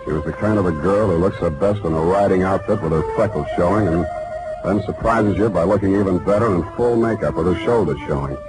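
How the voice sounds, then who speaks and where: Male actor playing a hard-boiled radio detective: low, laconic, wry, vintage broadcast with loud music. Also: fast, close to an everyday voice, rough recording. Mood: wry narration. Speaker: actor and narrator. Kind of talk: 1940s radio detective drama acting.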